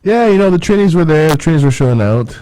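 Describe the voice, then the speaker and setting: A man's voice: fairly high pitch, expressive, quick pace, dark tone, dry recording, rough recording. A man co-hosting a casual radio chat, easygoing and joking. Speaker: radio co-host.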